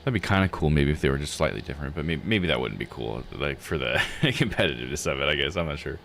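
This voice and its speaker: A man's voice: a bass voice, animated, fast, gravelly, fairly bright tone, slight background noise. A man giving casual live game commentary, thinking aloud in a musing, self-questioning way.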